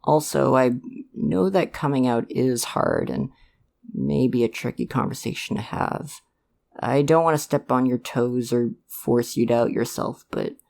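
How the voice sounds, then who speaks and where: A man playing a supportive, gently protective dad in an ASMR roleplay, speaking in a hesitant, earnest, reassuring way to the listener. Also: medium pitch, vocal fry. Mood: gentle, concerned. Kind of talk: ASMR roleplay, one-sided conversation with listener.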